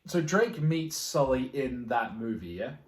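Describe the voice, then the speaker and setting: A man speaks in an echoey, roomy space with a mid-pitched voice. He chats casually as a podcast co-host. His manner is informal and agreeable, as if thinking his points through aloud. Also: expressive, measured pace, slight background noise. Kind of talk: casual chat about film and game adaptations.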